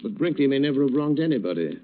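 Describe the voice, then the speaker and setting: An actor playing a shrewd, confident detective hero in 1940s radio drama style, reasoning earnestly and emphatically. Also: male voice, medium pitch, big pitch swings, fast, lightly acted. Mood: grave, deliberate. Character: shrewd, confident amateur detective and secret crimefighter, adult man. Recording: muffled old-time radio recording.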